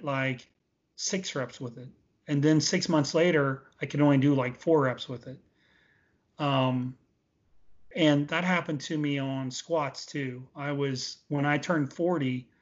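A man in his late forties giving casual, emphatic interview answers, repeating points for stress. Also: on the high side. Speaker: interview guest, veteran bodybuilder. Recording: dull, lo-fi audio.

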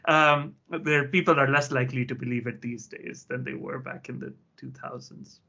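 A man speaking at a middle pitch, spinning a wry, self-mocking story as a panelist. His voice comes through muffled video-call audio. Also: steady tone, moderate pace, creaky, bright, forceful tone. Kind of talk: casual anecdote on a video call.

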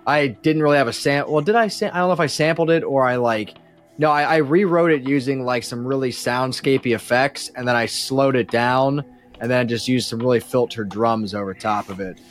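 A man chatting casually as a podcast guest, explaining in a halting, self-effacing way. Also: mid-range voice, soft background music. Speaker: podcast guest, musician and composer. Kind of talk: casual chat.

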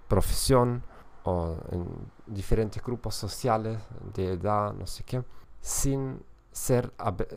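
Man, gravelly low voice, hesitant podcast talk, frequent fillers and self-corrections. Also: a little mellow. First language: German. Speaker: podcast host and language teacher. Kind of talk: casual solo podcast talk.

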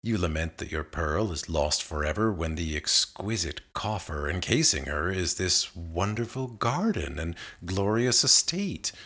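A man's voice: sits low, animated, unhurried, hardly any pauses, a bit bright, husky, full character voice. A man reading the part of a noble, serene maiden in a narrative poem, formal, unsentimental and admonishing. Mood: stern, consoling.

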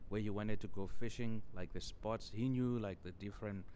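A man's voice: lowish voice, steady tone, normal speed, mellow, slight background noise. A man telling a childhood story on his own podcast, rambling and saying "you know" constantly. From Zimbabwe. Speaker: podcast host. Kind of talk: casual solo podcast storytelling.

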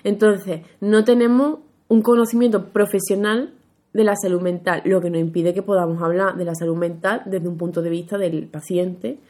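A woman's voice: mid-range voice, steady tone, normal speed, darkish tone. A young woman co-hosting a casual podcast, playful and teasing, often breaking into giggles. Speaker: podcast co-host.

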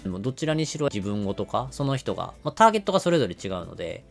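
A man's voice: medium pitch, very animated, racing, vocal fry, faint music behind. A man explaining things step by step in a business podcast style, using everyday examples. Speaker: podcast host explaining marketing.

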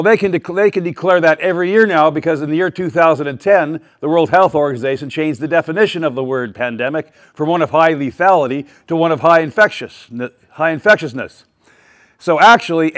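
A man addressing a live town hall audience with a loud, emphatic voice, rambling and often restarting his sentences. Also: fairly high pitch. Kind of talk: public speech to a live audience. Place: public meeting with a live audience.

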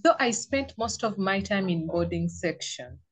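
A woman's voice: mid-range voice, animated, unhurried, breathy edge. A young woman with a Ugandan English accent, a podcast guest who talks about her life plainly and laughs easily. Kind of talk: casual interview chat.

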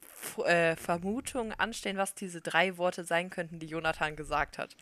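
A young woman co-hosting a podcast in casual chat, talking quickly and laughing often. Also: fairly low pitch, steady tone, a bit bright, no echo. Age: young adult. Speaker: podcast co-host.

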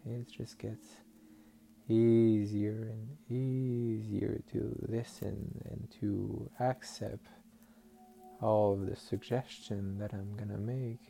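A man guiding a listener into hypnotic trance in a slow, soothing, suggestive way, over faint music. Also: fairly low pitch, little pitch movement, gravelly, warm tone, slight background noise. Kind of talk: guided hypnosis session.

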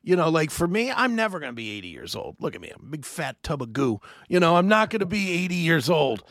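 A man in his fifties hosting a casual podcast chat, rambling and animated with many restarts. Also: a little high, fast, dry recording, clean studio sound. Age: 50s. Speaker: host.

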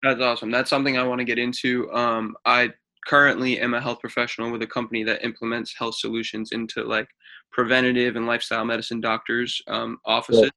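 Mid-20s male athletic trainer, casual podcast talk, sharp but level delivery. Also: medium pitch, flat delivery, fast.

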